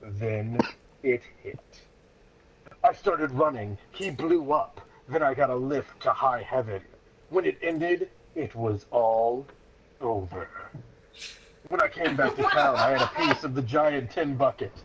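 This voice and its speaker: A man's voice: medium pitch, animated, measured pace, a bit bright. Dramatic male storyteller on a muffled, noisy recording. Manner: mock-serious, often breaking into laughter. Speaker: reader of a childhood story. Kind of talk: dramatic comic read-aloud of a story. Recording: dull lo-fi sound, clear background noise.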